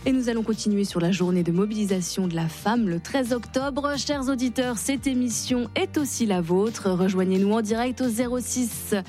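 A woman's voice: on the high side, moderate pace, hardly any pauses, mellow, faint music behind. A woman hosting live radio, presenting and interviewing in a courteous, composed way. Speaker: radio host.

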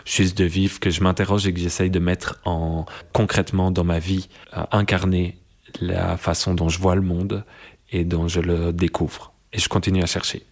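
A young man with a deep, low voice speaks slowly and thoughtfully, pausing often to find his words. He reflects calmly in a conversation, restarting phrases as he works through his ideas. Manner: thoughtful, searching, many pauses and restarts. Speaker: interviewee.

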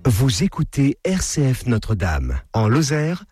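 A male radio announcer reads a scripted station ident with animated, swinging intonation. His voice is husky and recorded dry, with light music behind it. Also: mid-range voice, a little slow, no room sound. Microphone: dry studio microphone.

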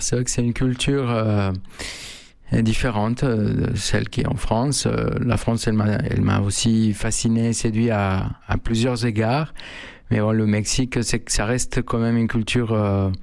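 Man, flat gravelly voice, halting answers, dry sound. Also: medium pitch, no room sound. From Mexico. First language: Spanish. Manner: halting, many fillers and restarts. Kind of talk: hesitant interview answers.

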